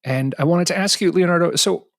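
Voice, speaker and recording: A man's voice: high voice, a little fast, creaky, no echo, studio quality. A man hosting a podcast interview, thinking aloud with frequent restarts and self-corrections. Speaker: podcast host. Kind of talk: conversational interview.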